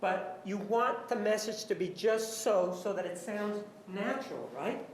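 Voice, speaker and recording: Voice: medium pitch, unhurried. A lawyer cross-examining a witness in a courtroom, putting pointed leading questions that end in short tags. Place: large indoor courtroom. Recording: echoey courtroom, faint background noise.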